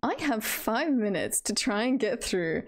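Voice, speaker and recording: Creaky-voiced woman chatting at a slow pace. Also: on the high side, sing-song. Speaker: streamer. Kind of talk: casual livestream chat, ranking tier lists.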